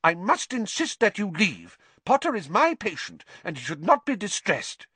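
A man voicing a brisk, protective female hospital matron who is stern and easily flustered, in an audiobook story. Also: high voice, huge pitch swings, fairly bright tone, husky, dry recording, voice pushed up, exaggerated voice. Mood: angry, insistent.

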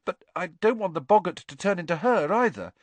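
A man's voice: high-pitched, lively intonation, no echo, pitched above the performer's own voice. A man voicing a nervous, timid teenage schoolboy who speaks hesitantly. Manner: hesitant, halting.

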